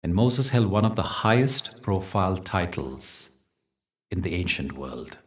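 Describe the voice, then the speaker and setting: A man hosting a guided meditation, speaking and telling stories in a gentle, deliberate, soothing way with dramatic pauses. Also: deep voice, sing-song, distant mic. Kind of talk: guided meditation talk with storytelling.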